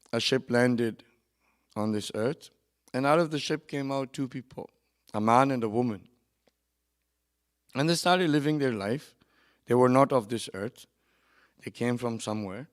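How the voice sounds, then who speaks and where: A man giving a sermon at a memorial gathering, moving between quiet, conversational asides and loud, emphatic lines, with quick runs of recited Arabic verse. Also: medium pitch. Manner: swings from soft asides to loud emphatic preaching. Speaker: religious speaker at a memorial gathering. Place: hall at a community centre.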